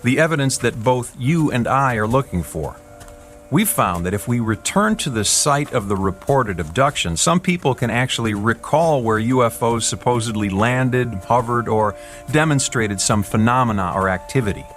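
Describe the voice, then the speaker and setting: A man's voice: mid-range voice, expressive, normal speed, a bit bright, close to an everyday voice. Wry man, an actor in radio drama, music behind. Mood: explanatory. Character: wry, skeptical, easygoing male UFO investigator. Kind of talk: radio drama acting, conversational.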